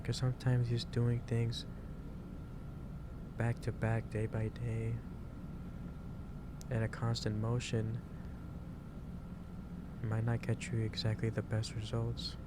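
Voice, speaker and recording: A man playing a gentle, concerned office boss in an ASMR roleplay, speaking haltingly and repeating his phrases. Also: medium pitch, completely flat delivery, very noisy, lightly acted. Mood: gentle lecturing. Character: gentle, concerned male office boss lecturing an overworked employee. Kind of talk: improvised ASMR roleplay addressed to the listener.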